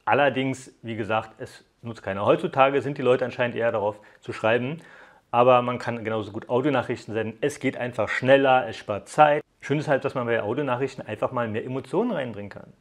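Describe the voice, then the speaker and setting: A man's voice: mid-range voice, brisk. A young man giving a casual, conversational hands-on review to viewers, explaining things informally as he goes. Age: young adult. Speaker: tech app reviewer. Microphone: lapel mic.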